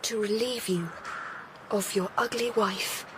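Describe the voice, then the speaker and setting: An actress playing a resolute, compassionate Victorian wife in a radio drama, with measured delivery. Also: female voice, medium pitch, steady tone, slight background noise, close to an everyday voice. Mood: sombre. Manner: measured, often hushed and tender. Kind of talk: period radio drama acting.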